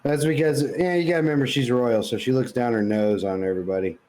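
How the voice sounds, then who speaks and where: A man with a mellow, darker tone chats as a podcast co-host. His delivery is casual and blunt, full of slang and swearing, like opinionated banter between friends. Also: medium pitch, quick pace.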